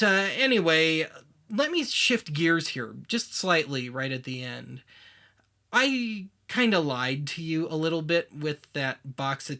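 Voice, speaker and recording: A man presents a show in a casual, wry way. His voice sits a little high and sounds bright and forceful, as he speaks informally straight to his viewers. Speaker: host of a vintage media show. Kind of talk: casual spoken commentary to viewers.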